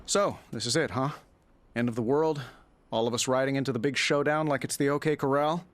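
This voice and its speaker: A man's voice: medium pitch, fairly even intonation, a little fast, a little hiss or hum, natural voice. A man playing a glib, flippant, wisecracking superhero in a video game. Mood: wry, glib. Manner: flippant, chatty.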